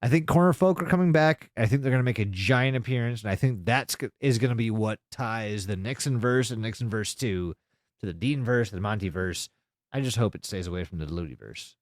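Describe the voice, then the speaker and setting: A man co-hosting a podcast in casual, excitable banter, swearing freely and often jumping in. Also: on the low side, brisk, no echo, clean studio sound. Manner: profane, excitable, interjecting. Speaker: podcast co-host. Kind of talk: casual banter about a fan-theory web series.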